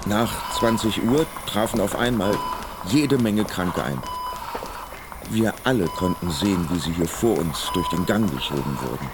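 Sober witness in radio drama, deep voice, loud traffic ambience behind. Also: male voice, measured pace. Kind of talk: radio play narration.

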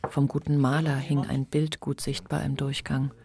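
An actress as the calm, understated narrator of a literary radio play. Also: female voice, rumbling low, normal speed. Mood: observant. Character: calm, observant female narrator of a literary tale.

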